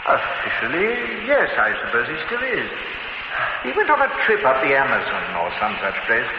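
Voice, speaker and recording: An assured, teasing old-time gourmet. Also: male voice, mid-range voice, wildly swooping pitch, normal speed, vocal fry. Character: worldly male gourmet, genial and teasing. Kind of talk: vintage radio drama acting. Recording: muffled old radio recording with hiss.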